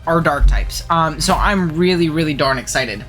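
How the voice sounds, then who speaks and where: A man's voice: on the high side, fairly bright tone, soft background music, rough recording. A man hosting a casual game-analysis show, talking chattily and enthusiastically while sniffling from a head cold. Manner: fast, chatty, enthusiastic gamer talk with fillers. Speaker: channel host.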